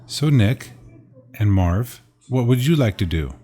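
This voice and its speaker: A man acting as a father and narrator, reading a Bible story aloud to his sons in gentle, earnest tones. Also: lowish voice, lively intonation, measured pace, slightly rough. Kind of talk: reading a Bible story in a home-made audio drama.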